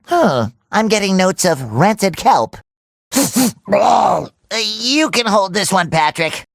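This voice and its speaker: A man voicing a cheerful, naive, excitable young male cartoon sea sponge in a video game, with a nasal, squeaky, childlike and eager delivery. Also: slow, gravelly, no echo, studio quality, heavily acted. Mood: casual.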